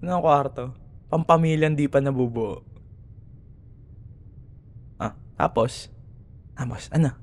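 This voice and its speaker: A man's voice: medium pitch, slow, long pauses, natural voice. A young man with a Filipino accent acting a cocky, grudging student rival in an ASMR roleplay, teasing and sarcastic in casual banter. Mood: smug, teasing. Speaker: voice actor.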